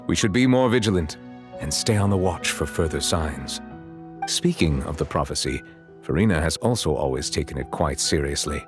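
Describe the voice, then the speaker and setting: An actor playing a solemn, dignified chief judge in a video game, speaking in a formal, measured and courteous way. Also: male voice, rumbling low, lively intonation, over music. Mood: grave. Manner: formal, measured, erudite phrasing. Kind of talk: scripted game dialogue.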